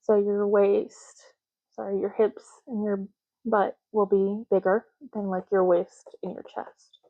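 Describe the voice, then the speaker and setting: A soft-spoken woman doing an ASMR roleplay as a clerk, explaining gently and unhurriedly in short, broken phrases. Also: medium pitch, level tone, slow pace, no echo, phone-quality sound. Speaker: ASMR creator playing an ID card clerk.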